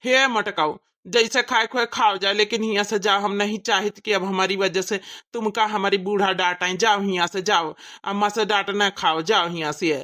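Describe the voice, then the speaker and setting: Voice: mid-range voice, steady tone, normal speed, sharp tone, close to an everyday voice. A performer voicing a put-upon, resigned village husband with an Awadhi accent, plaintive and fussing, in a family comedy cartoon. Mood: resigned, hurt.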